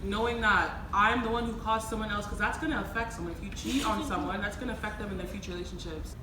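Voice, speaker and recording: A woman's voice: medium pitch, fairly even intonation, quick pace. A young woman hosting a casual group debate, speaking in an emphatic, opinionated way. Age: young adult. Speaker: panel host reading questions. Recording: noisy room ambience.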